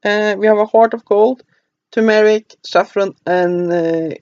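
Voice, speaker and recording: Brief: Norwegian woman, casual book reviewer, hesitant and halting, dry and slightly dull home recording. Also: medium pitch, fairly even intonation, darkish tone, no room sound. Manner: halting, with frequent "uh" and stumbles over names. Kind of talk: casual book review, reading a table of contents.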